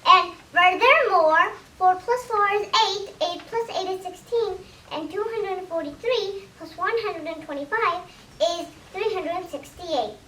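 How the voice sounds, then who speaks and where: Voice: very high voice, a little slow, bright, forceful tone. A young child giving a dramatic reading of a script in a school reader's theater. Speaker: student reader playing characters in reader's theater. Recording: roomy distant classroom recording with faint noise.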